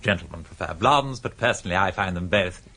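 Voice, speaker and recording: An actor playing a wry, flippant advertising copywriter with an upper-class British accent and mock-grand delivery in a radio drama. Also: male voice, deep voice, expressive, a little fast, pushed and bright, slight background noise. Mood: droll, charming. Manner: droll, mock-grandiloquent, sardonic.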